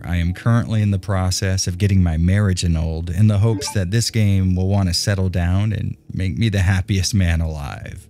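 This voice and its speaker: A man's voice: deep voice, dark tone. A man narrating a scripted video game review in a casual, conversational way, with wry, tongue-in-cheek humour. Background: background music.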